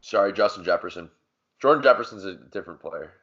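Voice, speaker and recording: A man's voice: sits low, expressive, fast, fairly bright tone, husky, highs cut off. A man co-hosting a casual sports podcast, arguing his points emphatically and repeating them for force. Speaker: podcast co-host.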